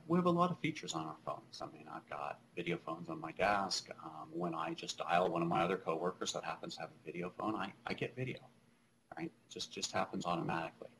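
A man's voice: deep voice, fairly bright tone, a little hiss or hum, low-quality recording. A man in his thirties giving a conference keynote over a video call from a small office, conversational with many fillers and restarts. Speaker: keynote speaker. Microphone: video call webcam.

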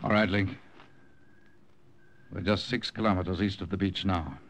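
An actor playing an uneasy, loyal corporal in an old-time radio drama. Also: male voice, low-pitched, fairly even intonation, normal speed, a little hiss or hum. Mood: confiding. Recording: vintage radio drama with music.

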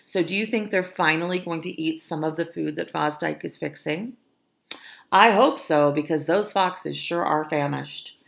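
A middle-aged woman reading a picture book aloud to children, expressive and pausing to explain. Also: deep voice, gravelly. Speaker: storytime reader, teacher.

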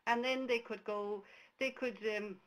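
A woman's voice: a little high, steady tone, unhurried, a bit bright, poor audio. A woman from Ireland, a native Irish speaker with an Irish accent, giving a conversational webcast presentation over a webcam mic with frequent restarts. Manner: conversational, many restarts and fillers. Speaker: tour operator presenter.

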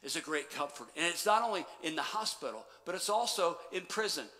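A middle-aged man preaching a sermon as pastor, animated, then dropping to quiet emphasis. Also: a little high, a little fast. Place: church sanctuary stage. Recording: long church reverb.

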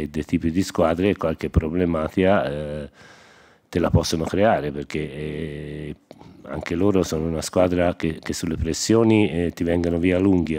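A man's voice: sits low, mellow. A man in his sixties, a football coach, explaining tactics thoughtfully at a post-match press conference. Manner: reflective, explanatory, tactical reasoning. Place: press room in front of a sponsor board. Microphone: desk microphone.

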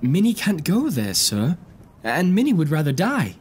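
An earnest gentleman, collecting for charity in an audio drama. His fairly high voice is formal and courteous with lively intonation, over faint noise. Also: moderate pace. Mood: grave, disappointed.

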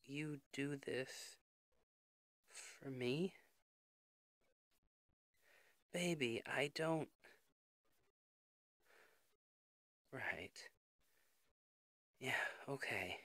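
Casting: male actor as worried, devoted young boyfriend for intimate ASMR roleplay; earnest, halting, unhurried, with wide pitch swings. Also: lively intonation, a bit bright, no room sound, studio quality. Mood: stunned, helpless. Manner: earnest, emotional, halting delivery.

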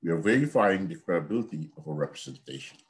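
A man's voice: sits low, expressive, fast, a little hiss or hum. A man, giving an earnest university lecture on a video call from a home office. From Guyana. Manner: earnest, repetitive teacherly explaining. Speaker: accounting professor.

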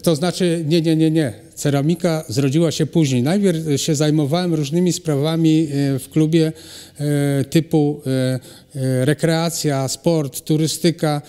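A middle-aged man, a ceramic artist, answering interview questions in a halting way with many pauses and restarts. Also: on the high side, steady tone, dark tone, smooth. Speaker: ceramic artist and cultural animator, guest. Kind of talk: hesitant conversational interview answers. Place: hall at a cultural centre. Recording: long reverb of a hall.

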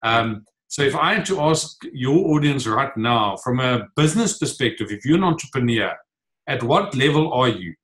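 A man's voice: mid-range voice, lively intonation, normal speed, a bit bright, low-quality recording. Man, south african accent, afrikaans first language, forties, video call, earnest, filler-heavy. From South Africa, Free State. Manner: earnest, gestures while talking, frequent fillers. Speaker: guest, author and entrepreneur. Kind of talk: casual interview answers. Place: home office with bookshelves.

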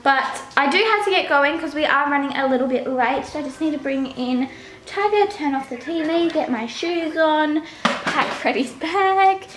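A young Australian woman with a high voice chats to a camera held at arm's length. She talks casually and directly to her viewers as she moves around her home. A faint hiss of noise sits behind her. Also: animated, normal speed, fairly bright tone. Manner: chatty, talking straight to the camera. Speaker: vlogger. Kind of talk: casual vlog chat. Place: home and car, indoors. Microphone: handheld camera at arm's length.

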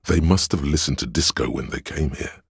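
A man voicing a detective's inner logic in a video game, cold, analytical and condescending, speaking in a measured, deliberate way with a deep, husky, gravelly voice. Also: no room sound, studio quality, full character voice. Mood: cool reasoning. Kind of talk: scripted game narration.

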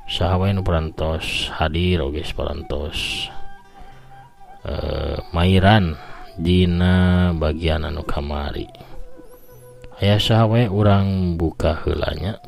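An older Sundanese man hosts a storytelling show in a low voice. He speaks in a folksy, conversational and expressive manner, with light music behind him. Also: slow pace, creaky, pauses often. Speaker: folk tale storyteller and host. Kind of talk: expressive storytelling and reading out listener messages.

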